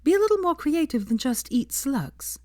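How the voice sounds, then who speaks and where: A woman voicing a fond, sensible young witch in an audiobook, earnest and gently chiding. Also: high-pitched, sing-song, moderate pace, no room sound, clean studio sound, voice pushed up. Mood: teasing. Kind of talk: audiobook character voice.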